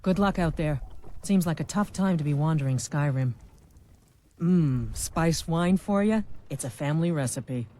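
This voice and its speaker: A woman's voice: deep voice, expressive, normal speed, mellow, slight background noise. A woman voicing a practical, chatty wine merchant in a video game, with a businesslike manner. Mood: proud. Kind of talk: video game character dialogue.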